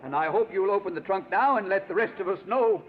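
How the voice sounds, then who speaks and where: Male actor showy auctioneer, high voice, declamatory, cheery, narrow vintage radio drama sound. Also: fast, natural voice. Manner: declamatory, public announcing. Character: cheery, showy auctioneer announcing a lucky winner. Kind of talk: 1940s radio drama acting.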